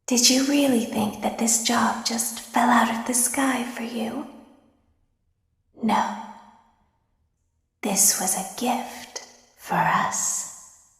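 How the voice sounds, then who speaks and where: Woman plays a cruel animatronic girl, whispered threats, unhurried and high-set, echoing space. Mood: menacing whisper. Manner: soft menacing whisper. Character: sinister girlish female animatronic, calm and cruel. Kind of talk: fan voice acting of game lines.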